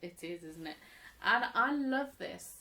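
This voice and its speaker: A woman in her thirties, chatting casually at home about her crochet, a little croaky from a cold, rambling and laughing often. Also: mid-range voice, animated, measured pace, bright, forceful tone. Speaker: crochet vlogger, mother. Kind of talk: casual show-and-tell chat.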